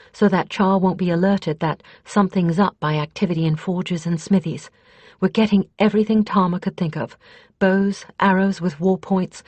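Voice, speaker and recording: A woman's voice: lowish voice, a little mellow, dry recording, pitched above the performer's own voice, lightly acted. A woman voicing a confident, fiercely determined female mage in an audiobook, explaining things earnestly and emphatically. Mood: businesslike. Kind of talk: audiobook character dialogue.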